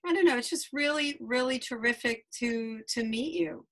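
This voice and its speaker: A woman hosting a casual chat over a video call, halting with fillers. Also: on the high side, creaky, fairly bright tone. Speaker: host.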